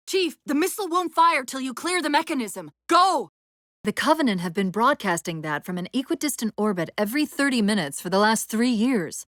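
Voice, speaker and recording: A high, lively female AI companion from a sci-fi video game. She gives crisp military commands and briefings with brisk, wry urgency, her pitch moving freely, recorded very dry and close. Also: no room sound. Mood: urgent, alarmed.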